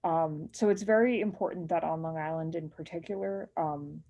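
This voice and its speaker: Woman w a slightly low voice, speaking at an online public meeting, earnest, kinda halting and hesitant. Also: dark tone, highs cut off. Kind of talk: public comment, earnest personal statement.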